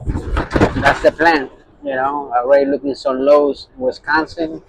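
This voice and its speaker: A man's voice: a little high, sing-song, measured pace, vocal fry, slight background noise, rough recording. A man from Puerto Rico, a truck driver, talking casually to his phone in a rambling way full of fillers and restarts. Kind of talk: casual vlog talk to camera.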